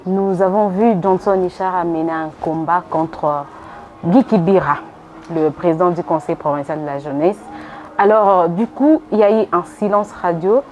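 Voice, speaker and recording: A woman conducts a broadcast interview. Her voice is a little low for a woman, and she probes with insistent follow-ups. Faint background noise sits under the recording. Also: a little mellow, low-quality recording. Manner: probing, presses with follow-up questions. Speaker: television interviewer. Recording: background noise and room ambience.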